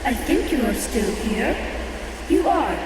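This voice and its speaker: A woman voicing a lonely, earnest little boy with a small childlike voice and a slow, hesitant, pleading delivery in a fantasy audio drama. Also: on the high side, big pitch swings. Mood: anxious hope. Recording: distant, cavernous reverb with noise.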